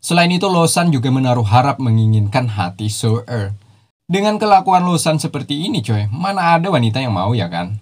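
A man's voice: medium pitch, a little mellow. A man narrating a cartoon series recap in a casual, slangy voice-over, dropping teasing jokey asides.

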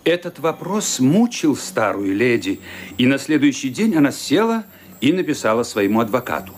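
A man narrating a radio drama in a measured, storytelling manner. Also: medium pitch, very animated, a little hiss or hum.

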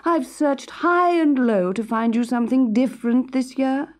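A woman's voice: high-pitched, animated, moderate pace, darkish tone, voice pushed up. A woman voicing an anxious, doting king and father in a storybook, pleading and fretful.

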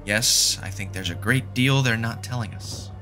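A man's voice: medium pitch, normal speed, bright, forceful tone, faint music behind. A man playing a wary, sceptical intellectual in a fan audio drama.

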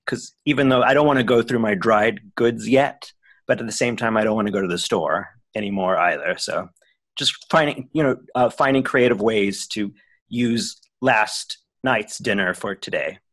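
A man's voice: fairly low pitch, moderate pace, fairly bright tone, no echo. A man in his forties talking as a guest over a video call from home, telling his life story chattily with many "you know" and "um" fillers. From born in Switzerland, raised in South Africa, Israel and the US. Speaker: guest, actor and writer. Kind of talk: casual interview. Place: home room with bookshelves.

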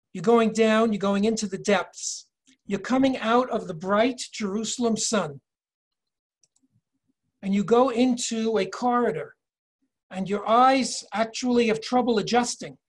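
An older man in his sixties leading a virtual tour lecture from home over a video call, measured and explanatory, reading inscriptions slowly. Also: sits high. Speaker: tour guide and educator. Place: home office, indoors. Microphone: video call webcam.

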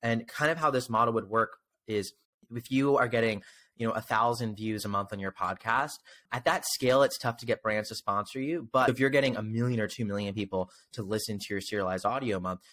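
A man's voice: on the low side, fairly quick, fairly bright tone. A man in his twenties hosting a casual interview, explaining things with enthusiasm into a close desk microphone. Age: 20s. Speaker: podcast host. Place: indoor home room. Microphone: close desk microphone on a video call.

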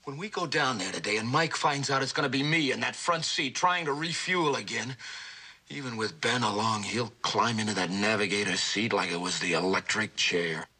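An actor playing a troubled, self-doubting young Air Force pilot, earnest and brooding, in dramatic radio style. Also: male voice, on the low side, moderate pace, sharp tone, slightly rough, exaggerated voice. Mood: agitated. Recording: old radio drama with music underneath.

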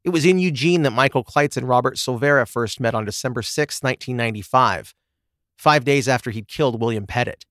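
A man's voice: medium pitch, brisk, a bit bright, no echo, studio quality. A man hosting a true crime podcast, reading a scripted narration. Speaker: podcast host.

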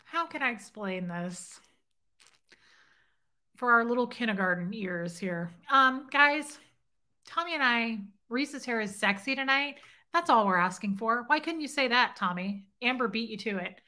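Bright-voiced woman hosting a playful livestream. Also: fairly high pitch, unhurried. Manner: playful, teasing, flirty banter. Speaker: livestream host. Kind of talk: casual livestream chat reading comments. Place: home living room, indoors. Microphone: webcam at desk.

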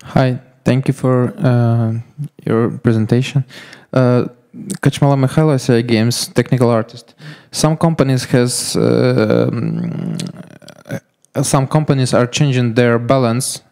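A man in a conference audience asks a question, halting and searching for words. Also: medium pitch, animated, vocal fry, darkish tone. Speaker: audience member asking a question.

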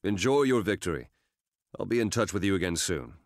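An actor playing a measured, authoritative male guard captain in a video game. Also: low voice, expressive, no room sound, studio quality. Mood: approving.

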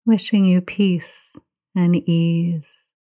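A woman guides a meditation in a low, soft-toned and mellow voice. She takes her time, speaking very slowly. Her manner is gentle and soothing throughout. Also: little pitch movement. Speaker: meditation teacher.

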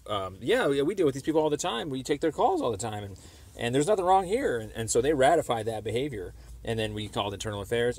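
A man making a phone call, explaining a complaint in a halting, wordy, circling way. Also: medium pitch. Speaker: caller. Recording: noisy phone call recording.

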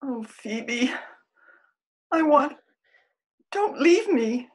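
An older actress playing a loving, torn married woman in a period radio drama, heartfelt and halting. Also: high-pitched, expressive, full character voice. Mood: torn, pleading. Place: room at home, video call.

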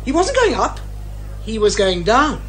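A woman's voice: fairly high pitch, huge pitch swings, measured pace, a bit bright, soft background music, exaggerated voice. A British actress playing an insistent, headstrong older woman, a mystery writer turned amateur sleuth in a radio detective comedy. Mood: explaining keenly. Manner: insistent, emphatic, articulate.

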